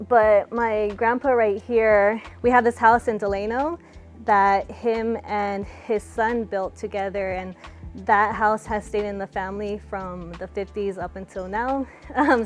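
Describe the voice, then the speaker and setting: A young woman from California answering interview questions in a relaxed, chatty way, with lots of fillers. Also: on the high side. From California, USA. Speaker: interviewee, fighter.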